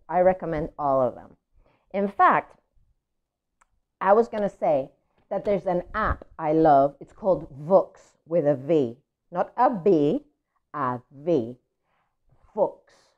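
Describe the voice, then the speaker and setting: A woman hosting a children's story read-aloud, chatting to viewers in an expressive, child-directed way. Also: lowish voice, takes it slowly, lo-fi sound. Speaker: children's story reader and host.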